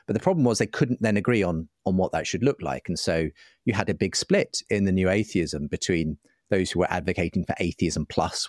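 A man's voice: low-pitched, dry recording, clean studio sound. A middle-aged man giving an earnest, articulate, discursive explanation in conversation as an interview guest. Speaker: interview guest, writer and broadcaster.

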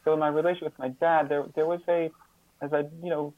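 A man being interviewed as a guest, speaking reflectively and haltingly, often repeating words and restarting his sentences. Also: a little high, flat delivery, dry recording, phone-quality sound.

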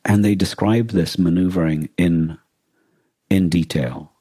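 A man co-hosting a podcast, explaining things in a casual, halting discussion as he thinks aloud. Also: sits low, fairly even intonation, warm tone, dry recording. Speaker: podcast co-host. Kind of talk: casual discussion of a radio drama.

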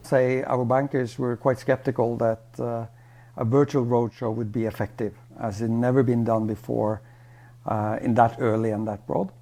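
Guy, exec leaving a voicemail comment, soft dark mellow tone, halting unscripted business talk. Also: mid-range voice, little pitch movement.

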